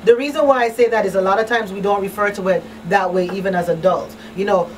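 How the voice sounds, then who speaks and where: A woman's voice: mid-range voice, quick pace. A woman hosting a talk show in a conversational, emphatic and plain-spoken way, repeating key phrases. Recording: distant mic, faint background noise.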